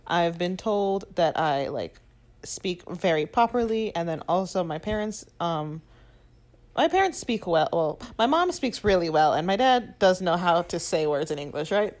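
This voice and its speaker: A young woman co-hosting a podcast, telling stories in a casual, animated way and laughing often. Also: on the low side, moderate pace. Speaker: podcast co-host. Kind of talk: casual chat.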